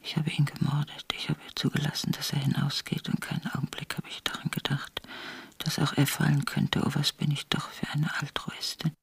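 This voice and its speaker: A woman's voice: low-pitched, monotone, a little fast, creaky, husky, no echo, whispered, full character voice. An actress playing a grieving imprisoned revolutionary woman who talks to her dead lover in intimate, anguished radio drama monologue. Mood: anguished, hushed. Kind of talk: literary radio drama acting.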